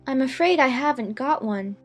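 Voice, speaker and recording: A woman's voice: high voice, animated, normal speed, soft background music. A woman playing a curious, polite and slightly timid young girl who talks to herself in a dramatized audiobook.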